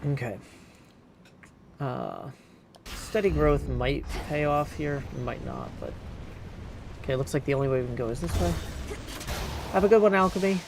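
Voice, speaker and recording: A man's voice: fairly high pitch, moderate pace, long pauses. Man thinking aloud over game noise. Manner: thinking aloud, muttering decisions to himself. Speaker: game streamer. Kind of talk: casual live gameplay commentary. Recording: clear background game noise.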